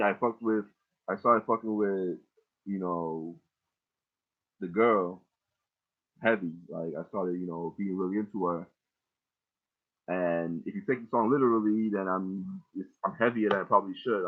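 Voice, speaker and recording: Young male rapper, deep voice, loose and profane, explaining his lyrics over thin phone-quality sound. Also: normal speed. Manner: loose, rambling, heavy casual profanity. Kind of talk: casual line-by-line lyric breakdown. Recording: tinny phone-like audio.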